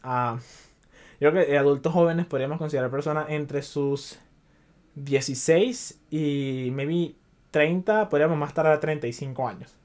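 A man's voice: fairly high pitch, moderate pace, a little mellow. A young man from Venezuela with a Venezuelan accent, hosting a casual solo podcast in a loose, chatty way that drops English words in. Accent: Venezuelan Spanish. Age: young adult. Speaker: podcast host.

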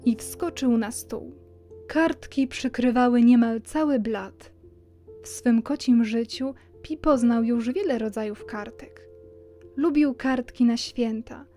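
A woman narrates a children's story from a script. Her voice sits on the high side, and music plays clearly behind her. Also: dark tone.

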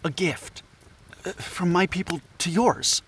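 Male actor: scatterbrained anthropologist pleading his case, persuasive but halting, fairly high voice, audio drama. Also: fairly bright tone, breathy edge, full character voice. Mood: nervous, persuasive.

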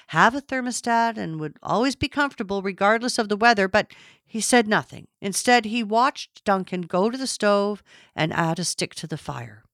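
A woman's voice: medium pitch, fairly bright tone, dry recording, studio quality. A woman narrating a literary story from a script. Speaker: audiobook narrator.

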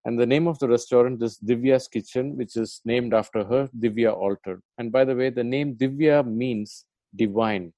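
A man hosts a podcast interview, speaking in a mellow, warm voice. His phrasing is loose and conversational, and the recording is dry and close. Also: medium pitch, moderate pace, few pauses, no echo. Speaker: podcast host.

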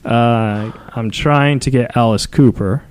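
A man hosting a casual live podcast, talking as a comedian in a rambling, halting, self-deprecating way. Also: medium pitch, darkish tone. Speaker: podcast host and stand-up comedian.

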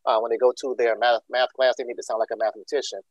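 A man from Georgia speaks as a school leader in an interview, explaining earnestly and filling pauses with ums and uhs. His voice sits mid-pitch for a man, and the recording is close and dry with no room sound. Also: little pitch movement, no echo. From Georgia, USA. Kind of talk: interview answer.

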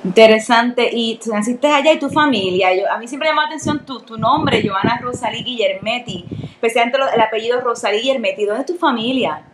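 A woman's voice: on the high side, a little fast, roomy sound, rough recording. A woman hosting a podcast interview and introducing her guest with enthusiasm. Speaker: podcast host.

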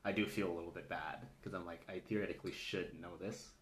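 A man hosting a casual chat, halting, with many fillers and restarts. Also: low-pitched, fairly even intonation, fairly bright tone, slight background noise. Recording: echoey room.

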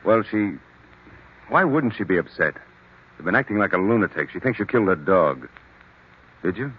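A low, rough-edged voice for a cornered, blustering lover. He is exasperated and defensive, swinging widely in tone in old radio thriller fashion, on a muffled vintage recording. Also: lively intonation, normal speed. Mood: uneasy evasion. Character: indignant cornered lover, evasive, blustering man.

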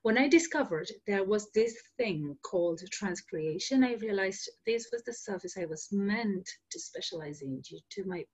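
A woman's voice: medium pitch, sing-song, normal speed, few pauses, slightly rough, low-quality recording. A woman in her thirties from Argentina, a native Spanish speaker, hosting a solo podcast in an earnest, explanatory way with fillers and restarts. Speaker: podcast host.

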